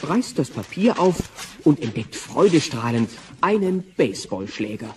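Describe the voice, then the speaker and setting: A man's voice: a little high, very animated, measured pace, far from the mic, very noisy. A man narrating a scripted audio drama, with music faintly behind him.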